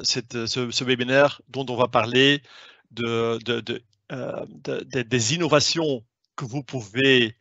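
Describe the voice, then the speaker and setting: A man's voice: mid-range voice, pushed and bright, dry recording. A man whose first language is not French, presenting a webinar as a sales lead in a hesitant way, with many fillers and restarts. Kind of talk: online presentation. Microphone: video call. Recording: dry, slightly dull online call audio.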